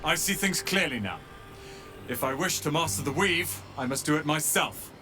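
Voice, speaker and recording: A young man playing an earnest, resolute wizard's apprentice with a wounded past in a dramatic video game, speaking in a measured, emotional way. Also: fairly high pitch, lively intonation, music in the background, close to an everyday voice. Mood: resolute, grateful.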